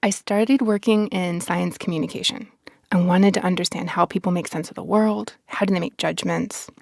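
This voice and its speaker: A woman, a podcast guest explaining science in an expressive, articulate, conversational way. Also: on the low side, normal speed, creaky, no room sound, clean studio sound.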